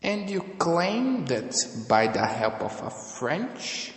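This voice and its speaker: Guy doing a suspicious general, hushed and very slow, questioning, long echo. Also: a little high, big pitch swings, pitched above the performer's own voice, full character voice. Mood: suspicious, measured. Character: measured, sceptical male French general, authoritative. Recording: echoey room with long reverb.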